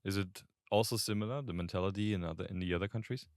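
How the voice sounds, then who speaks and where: A man's voice: sits low, fast, dry recording, studio quality. A man interviewing a guest, asking probing, conversational questions.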